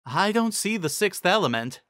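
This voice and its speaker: A man voicing a bright, inquisitive female earth pony who explains things. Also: high voice, very animated, moderate pace, no echo, clean studio sound, voice pushed up.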